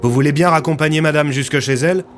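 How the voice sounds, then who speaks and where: A man playing a gruff, businesslike police inspector in an audio drama, speaking bluntly and colloquially. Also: on the high side, fast, soft background music, natural voice. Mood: blunt, grumbling.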